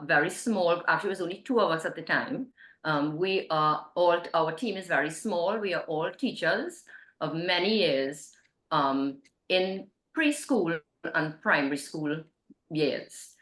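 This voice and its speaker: Woman guest, middle-aged, low-pitched, halting and sincere, roomy recording. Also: expressive, fairly bright tone. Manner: hesitant, many fillers and restarts, earnest. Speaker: literacy nonprofit co-founder, guest. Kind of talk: interview answer.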